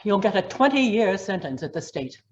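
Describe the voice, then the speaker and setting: A woman's voice: mid-range voice, very animated, moderate pace, highs cut off, natural voice. A woman playing a blunt, no-nonsense gangster's lawyer in an old time radio crime drama, speaking emphatically. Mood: alarmed, urging.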